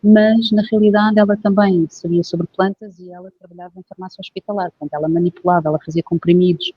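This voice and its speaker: A woman from Portugal with a European Portuguese accent, a guest herbalist telling her story in a relaxed, hesitant way with many fillers. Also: lowish voice, quick pace, slightly rough, no room sound. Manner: reflective, hesitant, with frequent filler phrases. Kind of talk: casual interview conversation. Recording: dull, dry lo-fi recording.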